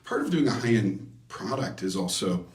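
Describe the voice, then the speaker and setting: A man being interviewed as a designer, explaining technical matters casually and haltingly, often correcting himself. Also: fairly low pitch, expressive. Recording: echoey room, distant microphone.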